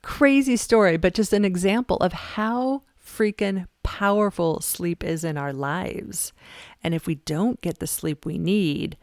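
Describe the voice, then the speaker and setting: A woman's voice: on the low side, unhurried, a little mellow, no echo, studio quality. A middle-aged woman hosting a fitness podcast, talking in a chatty, emphatic way and laughing often. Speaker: podcast host and fitness coach. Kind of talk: casual motivational talk.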